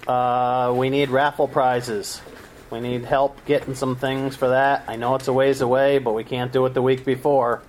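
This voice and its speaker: A man's voice: medium pitch, little pitch movement, slight background noise. Man chairing a club meeting. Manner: plain, brisk, moves agenda along. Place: meeting room.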